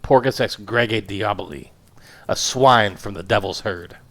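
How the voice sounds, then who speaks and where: A man voicing a gruff, domineering professor who rumbles and mocks his wife and a visitor. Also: unhurried, fairly bright tone. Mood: gruff mocking. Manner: rumbling, sardonic. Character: gruff bullying male professor, mocking and domineering.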